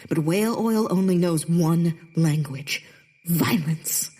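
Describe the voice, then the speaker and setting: A woman's voice: fairly low pitch, takes it slowly, warm tone, breathy edge. An actress playing a bitter, theatrical Victorian woman poet in a comedic period audio drama, with a haughty, sardonic delivery. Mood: fervent, bitter.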